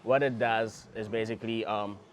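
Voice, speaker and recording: Low-voiced young man, relaxed, many ums. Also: normal speed. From Ghana. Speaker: startup founder, guest. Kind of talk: casual interview answers. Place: restaurant table, by the sea.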